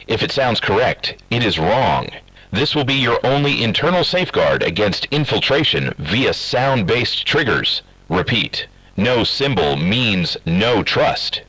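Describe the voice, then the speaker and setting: Husky low-voiced man reading an alert. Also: big pitch swings, pushed and bright, no room sound, poor audio. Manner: measured, formal, official. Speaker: emergency broadcast announcer. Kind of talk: scripted emergency alert reading.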